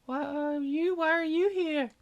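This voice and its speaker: A man voicing a sad, lonely young girl from a visual novel in a thin, listless falsetto. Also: steady tone, measured pace, lo-fi sound, soft background music. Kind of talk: visual novel character read-aloud.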